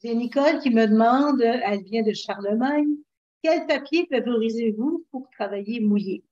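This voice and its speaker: Female moderator, high voice, casual hosting, dull lo-fi call sound. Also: moderate pace, darkish tone. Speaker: session moderator relaying questions. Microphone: video call. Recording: dull, lo-fi video call audio.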